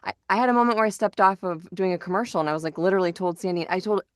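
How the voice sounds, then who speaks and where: Effusive low-voiced woman, dry sound. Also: very fast, dry recording. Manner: effusive, affirming. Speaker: podcast host. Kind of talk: casual chat.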